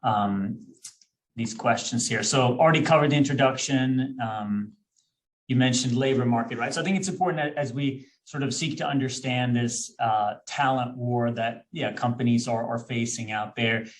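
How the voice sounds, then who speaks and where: A man in his thirties from Sweden, speaking English at a middle pitch for a man. He explains things in a friendly way, often pausing on fillers and restarting a phrase. He is heard over a video call microphone, like a guest on a webinar. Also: steady tone. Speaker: executive recruiter, guest. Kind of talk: webinar presentation.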